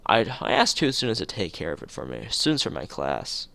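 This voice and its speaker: A man's voice: sits low, wildly swooping pitch, brisk, gravelly, pushed and bright, no echo, exaggerated voice. A man voicing a polite but cutting schoolgirl class representative, formal and barbed, in a visual novel. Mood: defensive.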